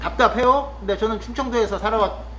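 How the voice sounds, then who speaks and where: High-voiced man musing thoughtfully. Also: fairly bright tone, slight background noise, low-quality recording. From Chungcheong, Korea. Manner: thoughtful, musing, with frequent pauses and fillers. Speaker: vlogger living in the countryside. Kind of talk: casual reflective monologue.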